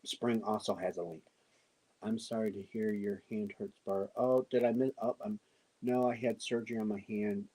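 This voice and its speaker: A man hosting a crochet live stream, chatting casually with halting pauses and answering viewer comments. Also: lowish voice, fairly even intonation, mellow, rough recording. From United States. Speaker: crochet show host.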